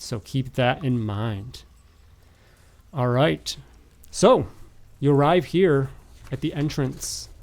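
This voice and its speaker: A man narrating as game master of a tabletop game, improvising with halting, hesitant phrasing. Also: medium pitch, gravelly, a little mellow.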